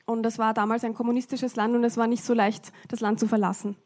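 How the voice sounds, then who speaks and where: A woman's voice: on the high side, little pitch movement, fast talker, darkish tone. Woman interpreting a sermon live. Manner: translates phrase by phrase with frequent hesitation fillers. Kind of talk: live church interpretation into German.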